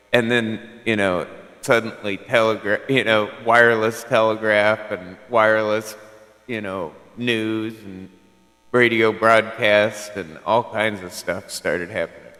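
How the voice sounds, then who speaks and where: A man's voice: fairly low pitch, sharp tone, in a large hall. A man chatting casually on a CB radio channel, rambling and filling his talk with "like" and "you know". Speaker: CB radio caller.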